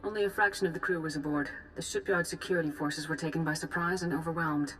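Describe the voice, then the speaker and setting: A woman playing a grave, composed Jedi master in a video game, giving formal briefings with measured authority. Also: low voice, steady tone, a bit bright, soft background music, low-quality recording. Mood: grave, urgent. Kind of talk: formal dramatic mission briefing.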